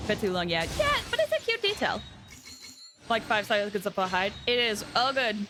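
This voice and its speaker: A woman game streamer chatting casually with her viewers in an excitable, chatty manner. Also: mid-range voice, normal speed, music in the background. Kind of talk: casual livestream chat with viewers.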